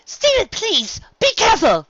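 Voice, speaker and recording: A man's voice: extremely high pitch, wildly swooping pitch, measured pace, sharp tone, lo-fi sound, slight background noise, pitched above the performer's own voice, exaggerated voice. A man voicing an anxious, dramatic, ladylike female cartoon pony who calls out in an urgent, pleading way. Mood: alarmed.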